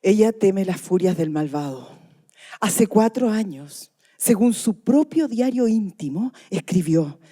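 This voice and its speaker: A woman's voice: mid-range voice, lively intonation, unhurried, warm tone. A woman with a Chilean accent playing a grieving, solemn mother telling of her murdered daughter in a radio play. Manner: intense, solemn, dramatic delivery. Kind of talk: Spanish-language radio play, dramatic narration.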